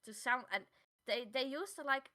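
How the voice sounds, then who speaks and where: A woman co-hosting a podcast in casual chat, halting and repeating words as she finds her phrasing. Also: high voice, pushed and bright. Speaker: podcast co-host.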